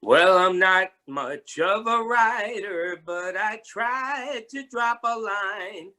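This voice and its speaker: A man's voice: high-pitched, animated, slow, bright, forceful tone. A man performer reciting rhyming verse in readers theatre.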